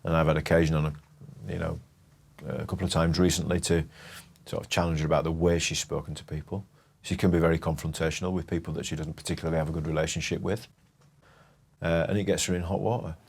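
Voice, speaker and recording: Very deep-voiced man, measured and reflective. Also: flat delivery, gravelly, husky. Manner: measured, reflective, some hesitations. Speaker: head teacher. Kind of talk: documentary interview.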